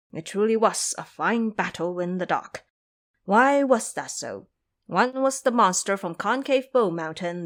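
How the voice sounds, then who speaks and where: A woman narrating a scripted fantasy story in a dramatic storytelling style. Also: mid-range voice.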